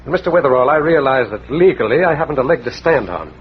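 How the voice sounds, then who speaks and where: A man's voice: medium pitch, expressive, fast talker, close to an everyday voice. An actor playing a stiff, determined and indignant prominent townsman in 1940s radio drama style. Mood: indignant, resolute. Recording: muffled, hissy old radio recording.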